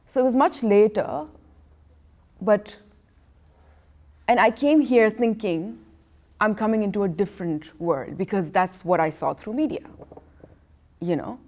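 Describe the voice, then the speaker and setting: A woman artist, a native Farsi speaker from Iran and Pakistan, talks through her memories in a loose, rambling way. Her voice sits at an ordinary middle pitch, and she keeps circling back with "you know" as she speaks. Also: unhurried, long pauses, a little mellow. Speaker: artist, interview guest. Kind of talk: casual conversational storytelling. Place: art gallery.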